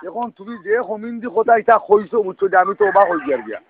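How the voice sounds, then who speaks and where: This is a high-voiced Sylheti man arguing over a thin, noisy phone line. He scolds at a quick clip in long, exasperated rambles. Questioning grunts keep punctuating his complaints. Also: lively intonation. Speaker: prank call participant. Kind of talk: heated phone argument. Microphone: telephone.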